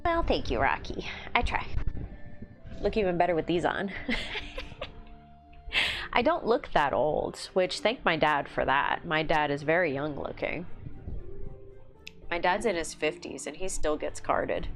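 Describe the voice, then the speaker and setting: Woman, 30s, livestream host; low-pitched, relaxed self-deprecating chatter, light music underneath. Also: unhurried, pauses often. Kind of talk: casual chat while painting miniatures. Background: faint music.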